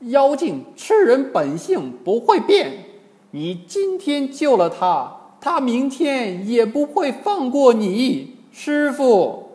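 A fiery monkey hero acted by a male storyteller, defiant yet loyal, declaiming in a high, very animated voice. It carries a long, hall-like echo. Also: takes it slowly, exaggerated voice. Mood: angry warning. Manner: forceful, emphatic declaiming. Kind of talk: dramatised storytelling.

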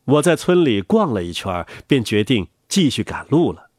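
A man reads a children's story aloud in a gentle, animated way. His voice is husky and its pitch moves a lot. The sound is dry and close, with soft music underneath. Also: normal speed, no echo. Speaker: storyteller reading to children, the father. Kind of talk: expressive children's story reading.

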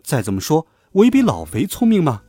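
A man's voice: fairly high pitch, huge pitch swings, brisk, dark tone, slightly rough, no room sound, exaggerated voice. A man voicing a cheeky, boastful schoolboy hero in a children's audiobook, with a teasing, cocky delivery. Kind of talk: children's audiobook character acting.

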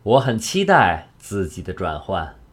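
Man acting an eager, animated young space explorer. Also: low-pitched, unhurried, husky, exaggerated voice. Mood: eager, confident. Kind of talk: science fiction radio drama acting.

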